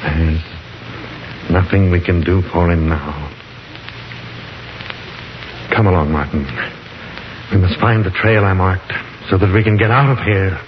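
An actor playing a grave professor who reasons slowly and theatrically in a 1950s radio horror drama. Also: male voice, low voice, steady tone. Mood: weary, decisive. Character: grave, reasoning male professor exploring dark caverns. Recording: hissy, dull 1950s radio recording, roomy.

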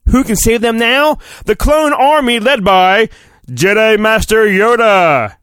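A man's voice: sits high, lively intonation, takes it slowly, fairly bright tone, dry recording, studio quality. A man hosting a podcast, giving a mock-dramatic storybook reading and breaking off for irreverent, crude asides. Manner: theatrical, irreverent, often breaking off to comment. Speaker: podcast host.

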